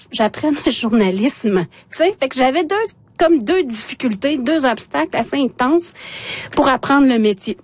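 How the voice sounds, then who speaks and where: A woman's voice: on the high side, darkish tone, slightly rough, no room sound. Innu woman telling her story animatedly. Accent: Quebec French. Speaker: journalist guest. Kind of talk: personal storytelling in conversation.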